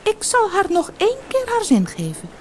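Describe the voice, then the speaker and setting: A woman's voice: very high voice, wildly swooping pitch, moderate pace, darkish tone, a little hiss or hum, voice pushed up, full character voice. A woman voicing a magical little golden fish in a fairy-tale audiobook, curt and exasperated as it deals with wishes. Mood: grudging.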